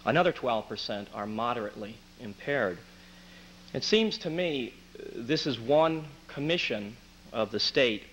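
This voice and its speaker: Hoarse man at a council podium. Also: mid-range voice, fairly bright tone, a little hiss or hum, rough recording. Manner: earnest, throat-clearing, many uh fillers. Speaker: physician speaking at public comment. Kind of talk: public comment at town council meeting. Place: town council chamber, at a podium. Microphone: podium mic.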